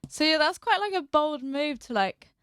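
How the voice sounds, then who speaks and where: A woman's voice: sits high, expressive, moderate pace, fairly bright tone, dry recording, clean studio sound. A woman chatting casually as a podcast co-host. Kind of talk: casual chat.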